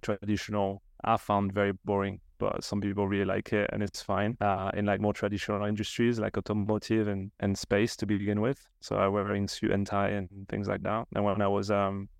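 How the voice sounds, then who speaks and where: A French-accented man in his thirties tells his story in a relaxed, meandering way, with the odd casual swear. His voice sits low with a gravelly fry, and his intonation barely moves. The sound is dry and close. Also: dry recording. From southern France. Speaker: podcast guest, marketing executive. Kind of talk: casual interview chat.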